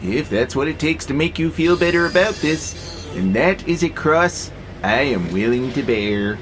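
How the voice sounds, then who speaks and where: An actor playing a gentle, philosophical freight robot in an audio drama, calmly persuasive and playfully sarcastic. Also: male voice, on the high side, a little slow, soft background music. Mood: placid. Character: gentle, wry, philosophical male freight-hauling robot, peaceable.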